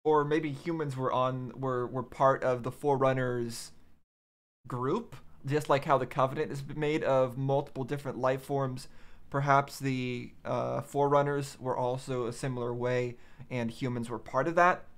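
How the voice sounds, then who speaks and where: A man with a mid-range voice gives relaxed, casual commentary as a reaction host. He thinks aloud as he goes, hesitating and rephrasing in a tentative, musing way. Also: mid-range voice, moderate pace. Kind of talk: casual commentary on game cutscenes.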